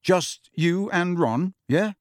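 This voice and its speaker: A man's voice: sits high, animated, slow, dry recording, voice pushed up. Male narrator's take on a sulky, wary teenage wizard boy, dry close sound. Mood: grumpy. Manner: grumpy, reluctant.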